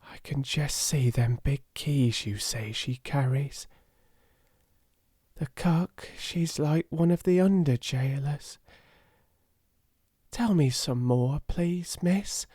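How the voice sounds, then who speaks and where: A man voicing a timid, downtrodden young servant girl, hoarse and eager, with a working-class English accent. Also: medium pitch, slow, dark tone, no echo, clean studio sound, voice pushed up. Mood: hushed, pleading.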